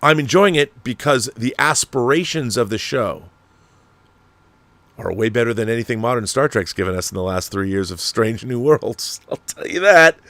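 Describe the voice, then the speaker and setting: A man with a medium-pitched voice, recorded dry with little room sound. He talks off the cuff like a show host giving strong opinions, rambling and doubling back on words, leaning hard on his points with blunt language. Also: a bit bright, no echo. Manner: rambling, emphatic, repeats words, swears freely. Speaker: host of a film and TV commentary show. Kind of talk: casual opinionated commentary, answering viewer messages.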